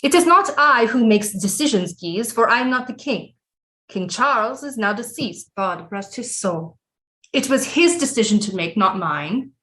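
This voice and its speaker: A woman's voice: on the high side, animated, normal speed, fairly bright tone. An actress playing a proud older French queen mother in an audio play, speaking formally and imperiously. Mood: defensive, stern. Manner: formal, imperious, terse retorts. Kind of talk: theatrical audio play acting.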